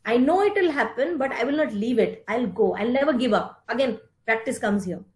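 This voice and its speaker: A middle-aged woman with an Indian accent giving an instructive talk in short, deliberate, emphatic phrases, explaining terms as she goes. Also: fairly high pitch, expressive. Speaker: speaker giving a yoga philosophy talk. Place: indoor room, online meeting. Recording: roomy, echoing virtual meeting audio.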